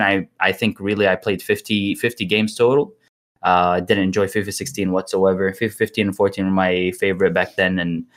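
Low-voiced relaxed young man chatting. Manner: relaxed, rambling, laughs easily. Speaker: podcast guest, gaming streamer. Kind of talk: casual podcast chat.

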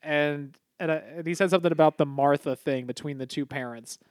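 Rambling young man, slightly high voice. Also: a little fast, smooth, no echo, clean studio sound. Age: young adult. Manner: rambling storyteller, swears casually. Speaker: podcast co-host. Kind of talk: casual chat.

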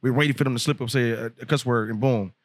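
A young man in his twenties tells a long story in a loose, animated, profane way, laughing often as he goes. His voice sits at a middle pitch. It is recorded close and dry in a studio. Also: quick pace, dry recording. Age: 20s. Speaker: rapper guest. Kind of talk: casual podcast storytelling. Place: podcast studio.

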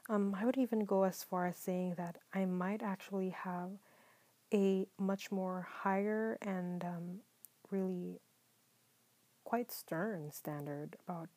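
Soft-spoken woman with a slightly gravelly voice. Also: on the low side, slow. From Philippines. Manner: calm, mellow, partly whispered, many ums. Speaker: podcast host. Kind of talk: soft-spoken solo podcast monologue.

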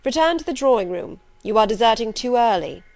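A woman voicing a brusque, probing gentleman who is master of the house, speaking insistently. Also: fairly high pitch, animated, fairly quick, no echo. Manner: insistent, domineering.

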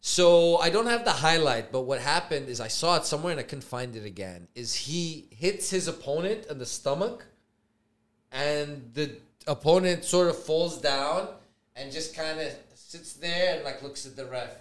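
A man co-hosting a casual reaction chat, with a slightly high voice that swings widely in pitch. He laughs a lot and swears loosely, and his talk stays loose and animated throughout. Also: normal speed. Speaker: podcast co-host.

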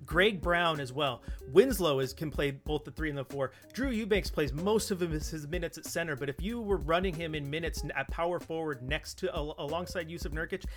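A man's voice: a little high, fairly quick, few pauses, faint music behind. A man in a casual sports podcast discussion, emphatic and opinionated, repeating points for stress. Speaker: podcast co-host and basketball analyst.

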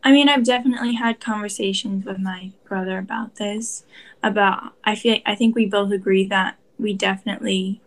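A woman's voice: mid-range voice, steady tone, fairly quick. A young woman answering interview questions about her heritage, speaking casually and filling her sentences with "like". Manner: casual, heavy use of "like". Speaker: interview guest, daughter.

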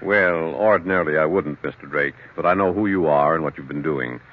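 Deep-voiced probing psychiatrist. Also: male voice. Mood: probing, detached. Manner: measured, clinical questioning with thoughtful hums. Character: stern, probing, famous state psychiatrist. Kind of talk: 1940s radio drama acting. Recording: dull, muffled old radio recording.

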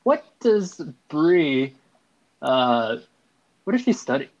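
A young man co-hosting a casual podcast, talking in a playful, hurried way. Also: fairly high pitch, very animated. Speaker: podcast co-host. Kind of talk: casual chat. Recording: slightly dull lo-fi recording.

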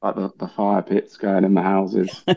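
Man, English accent with Australian twang, chef, relaxed, rambling, low voice, dull recording. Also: measured pace, gravelly, dry recording. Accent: English with some Australian twang. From England. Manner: relaxed, rambling, many fillers, laughs easily. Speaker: chef, podcast guest. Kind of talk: casual interview chat.

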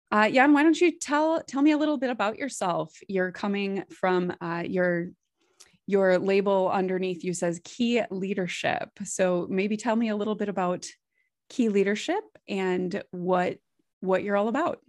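A woman's voice: medium pitch, moderate pace, no echo. Midwestern woman hosting a dry-sounding podcast. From Midwest US, Wisconsin. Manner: friendly, with some hesitations. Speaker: podcast host. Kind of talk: podcast interview. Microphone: headphones and a close mic.

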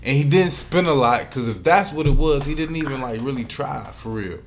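A man's voice: mid-range voice, fairly quick, faint music behind. A man with an American accent co-hosting a podcast in casual, profane, slangy banter. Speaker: podcast co-host.

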